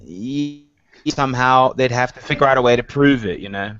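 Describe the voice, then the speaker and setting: A man on a casual web talk show, eagerly pitching ideas and trailing off mid-thought. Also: normal speed, fairly bright tone, clean tone, highs cut off. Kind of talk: casual live-stream chat.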